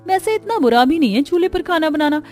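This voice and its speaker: A woman's voice: high voice, animated, fast talker, darkish tone, faint music behind, pitched above the performer's own voice. A woman voicing a put-upon but plucky young city-bred daughter-in-law in a cartoon story, with dramatic, exclaiming delivery. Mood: pleased, energized. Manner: exclaiming, self-pitying, dramatic. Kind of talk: Hindi cartoon story dialogue.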